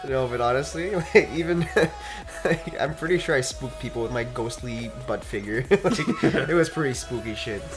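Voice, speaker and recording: A man's voice: mid-range voice, moderate pace. A young man telling a casual story, giggly and swearing freely as he talks. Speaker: gaming channel co-host. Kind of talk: casual animated storytelling chat. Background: background music.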